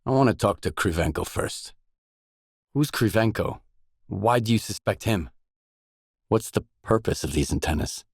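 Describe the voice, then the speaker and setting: A low male voice for a weary, guarded mercenary in a video game. He talks in short, blunt, businesslike lines, matter-of-fact and gruff. The sound is close and dry, with no room around it. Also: animated, moderate pace, long pauses, dry recording, heavily acted.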